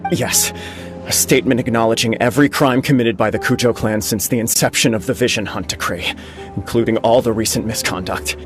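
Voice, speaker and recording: A man's voice: medium pitch, steady tone, a little fast, over music, close to an everyday voice. A man voicing an earnest, dutiful young heir of a disgraced clan in a video game, speaking formally. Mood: serious. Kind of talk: dramatic video game voice acting.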